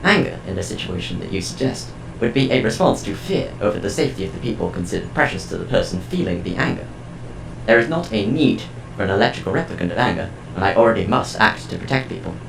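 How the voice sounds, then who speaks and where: A man playing a calm, formal artificial intelligence in an audio drama, speaking in precise, measured sentences. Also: low-pitched, vocal fry, roomy sound, over music, heavily acted, poor audio. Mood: detached, formal.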